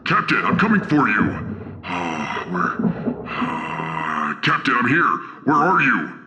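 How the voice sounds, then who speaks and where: A man playing a proud, boastful alien warrior sidekick in a sci-fi audio drama, with a gravelly voice and bombastic, earnest delivery. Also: sits low, very animated, slow, bright, forceful tone, pitched below the performer's own voice, heavily acted. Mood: alarmed. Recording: echoey cave-like reverb.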